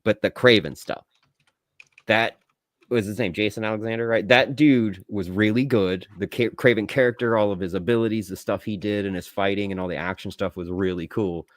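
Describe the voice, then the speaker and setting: A man's voice: on the low side, fairly even intonation, brisk, no room sound. Blunt, sweary man, dry close sound. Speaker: podcast co-host. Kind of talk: casual movie review chat.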